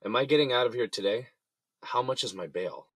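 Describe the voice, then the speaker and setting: A man's voice: medium pitch, fairly quick, fairly bright tone, husky, dry recording. A man playing an indignant, desperate company boss in jail, in an audio drama. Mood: anxious.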